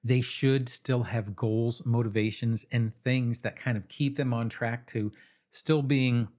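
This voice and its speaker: A man narrating a scripted tabletop roleplaying explainer in a measured, instructive way, with casual asides, speaking directly to the listener. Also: mid-range voice, steady tone, a little mellow. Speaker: host of a tabletop roleplaying advice channel.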